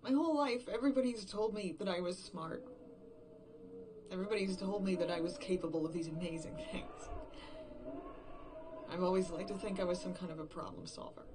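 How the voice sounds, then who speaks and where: A woman acting an exhausted, frightened survivor in a horror audio drama monologue, haltingly thinking aloud and repeating herself. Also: lowish voice, background noise, close to an everyday voice. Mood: frustrated. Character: exhausted, frightened woman game writer, alone after everyone vanished.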